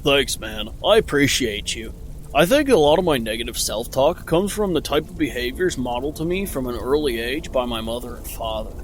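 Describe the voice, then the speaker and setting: A man's voice: medium pitch, animated, normal speed, slight background noise, natural voice. An actor playing a bumbling, self-pitying teenage male cult leader in a comedic horror audio drama, clueless and petulant, talking in casual bro slang. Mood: casual, sincere.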